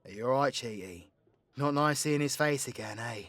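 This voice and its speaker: A man's voice: mid-range voice, normal speed, fairly bright tone, no echo. A British man playing a foul-mouthed, sardonic supervillain in an audio roleplay, mocking and full of casual slang. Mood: wry. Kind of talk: audio roleplay drama acting.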